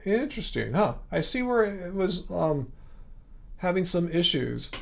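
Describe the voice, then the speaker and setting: A man's voice: sits high, very animated, a little slow, creaky. A man hosting a livestream, chatting casually and rambling as he reads and answers viewer comments, with frequent restarts and chuckles. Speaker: livestream host.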